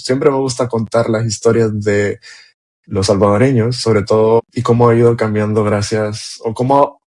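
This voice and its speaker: A man hosting a podcast, talking in a relaxed, rambling way as he introduces his guest. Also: on the low side. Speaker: podcast host. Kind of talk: casual interview talk.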